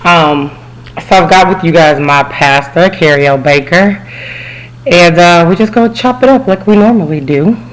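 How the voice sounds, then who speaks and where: A hoarse woman with a cold hosting a podcast in casual, chatty talk full of fillers and asides. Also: lowish voice, moderate pace, rough recording. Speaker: podcast host.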